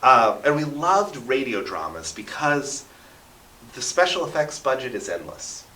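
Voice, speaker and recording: A man's voice: mid-range voice, big pitch swings, a little slow, bright, forceful tone, far from the mic, slight background noise. A man talking as an interview guest in a conversational storytelling style, emphatic and often checking in with the listener. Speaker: interview guest, radio drama producer and local history enthusiast. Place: radio studio. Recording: roomy, echoing, away from the mic.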